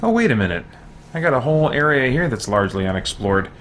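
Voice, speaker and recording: A man's voice: medium pitch, very animated, quick pace, a bit bright, a little hiss or hum. A man doing casual gameplay commentary as a streamer, with a goofy, repetitive way of talking to himself. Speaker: video game livestreamer.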